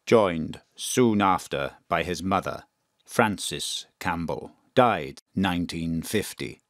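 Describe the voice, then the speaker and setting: Grave, measured male voice for audio drama inscriptions, low-pitched and very slow, dry recording. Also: expressive, no room sound, exaggerated voice. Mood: solemn. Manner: solemn, measured.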